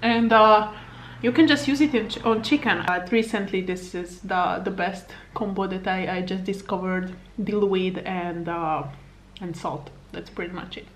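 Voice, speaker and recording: A woman in her thirties with a Hungarian accent talks to a camera on her table in a living room, rambling in a casual, chatty way. Her voice sits at an ordinary middle pitch, and a faint hum of room noise stays under her words. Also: steady tone, moderate pace, hardly any pauses. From Slovakia. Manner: rambling, chatty, frequent laughs and fillers. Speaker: fitness vlogger and coach. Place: home living room, indoors. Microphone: camera on table at arm's length.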